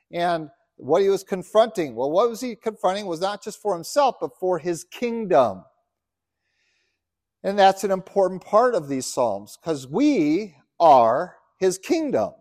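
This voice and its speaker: Middle-aged pastor from Uganda, earnest preacher, slightly high voice. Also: male voice, no echo, clean studio sound. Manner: earnest, builds to loud emphatic scripture reading. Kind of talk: sermon. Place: church.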